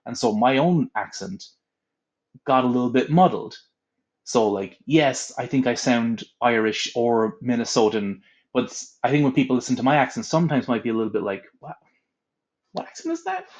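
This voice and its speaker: A man's voice: mid-range voice, sing-song, moderate pace, highs cut off. A young adult man, an audiobook voice actor, chatting casually in an interview with lots of filler words. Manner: rambling, many filler "like"s.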